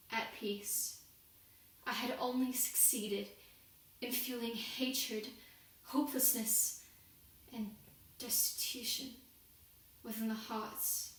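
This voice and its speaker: Young woman performing as devout industrial-era working woman, guilt-ridden and pleading, solemn dramatic monologue, very slow delivery, heavily echoing room. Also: fairly high pitch, a bit bright. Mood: regretful. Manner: solemn, deliberate, formal oratory. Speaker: student performer. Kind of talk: dramatic monologue, confessional prayer. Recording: very echoey room, distant microphone.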